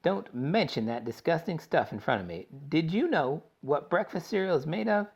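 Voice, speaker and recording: A man reading aloud and voicing an eccentric, excitable male chocolate maker in a high theatrical voice. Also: normal speed, slightly rough, full character voice. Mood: flustered. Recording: dull lo-fi recording.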